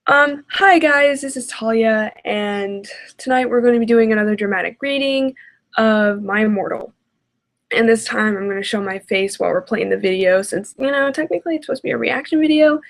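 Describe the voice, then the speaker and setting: A woman's voice: on the high side, fairly quick, vocal fry. A woman hosting a reaction video with casual, sarcastic, foul-mouthed commentary.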